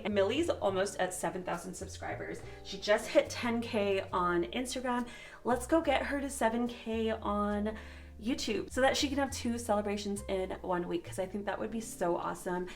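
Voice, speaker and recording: A woman's voice: mid-range voice, gravelly. A woman chatting casually and informally to viewers as a beauty vlogger reviewing products, sounding a little sick and sniffly.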